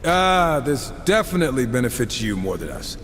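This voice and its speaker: A man's voice: mid-range voice, big pitch swings, normal speed, a bit bright, in a large hall, a little hiss or hum. An actor playing a wisecracking, cocky gunslinger horseman in a video game, sarcastic and flippant. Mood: impatient. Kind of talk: dramatic video game voice acting.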